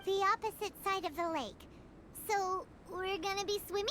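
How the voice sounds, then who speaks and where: A woman voicing a tiny, chirpy and curious floating fairy girl companion in a game, with a squeaky, bouncy way of exclaiming things. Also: fairly even intonation, normal speed, sharp tone, slight background noise. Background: game music.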